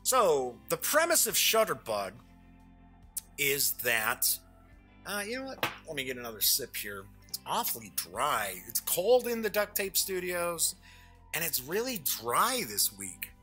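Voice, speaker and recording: A middle-aged man with a bright, forward voice pitched a little high hosts an informal live show. He talks in a chatty, joking way and drifts into side remarks, with music present in the recording. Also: wildly swooping pitch, unhurried, husky. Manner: chatty, jokey, digressive. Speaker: show host. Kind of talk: casual live unboxing chat.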